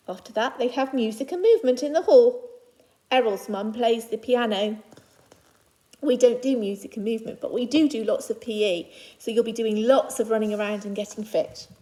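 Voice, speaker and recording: A woman's voice: fairly high pitch, expressive, moderate pace, mellow. A woman teacher reading a children's picture book aloud to young pupils, phrasing it simply and adding reassuring asides in her own words.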